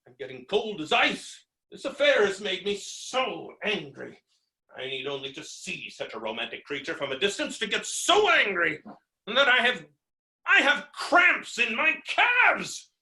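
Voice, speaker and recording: Indignant creditor, high-pitched, wildly swooping delivery, melodramatic stage comedy rant, roomy echo. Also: male voice, huge pitch swings, a little slow, pushed and bright, heavily acted. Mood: raging. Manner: ranting, exclamatory, exasperated, melodramatic. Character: blustering, hot-tempered male creditor, unkempt and indignant. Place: indoor room, recorded remotely. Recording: roomy, echoing virtual staged-reading recording.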